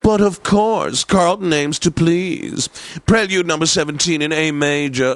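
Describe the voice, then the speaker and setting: An actor playing a pompous, snobbish classical radio DJ for a satirical video game station, with formal, theatrical enunciation. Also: male voice, mid-range voice, no room sound, natural voice. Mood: smug, grand.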